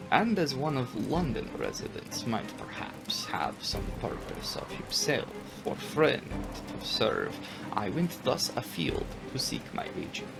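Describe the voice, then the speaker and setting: Guy doing old vampire count, slow n formal, creaky, bossy but polite, music behind. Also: mid-range voice, exaggerated voice, low-quality recording. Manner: slow, formal, deliberate with dramatic pauses. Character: commanding old male vampire count, courteous but menacing. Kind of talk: dramatic audiobook character reading.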